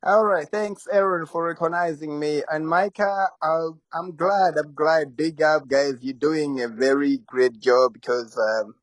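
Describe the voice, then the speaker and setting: A man from Malawi with a Malawian accent, politely putting questions in halting phrases during a live discussion. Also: a little high, fairly even intonation, no room sound. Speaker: audience member asking questions.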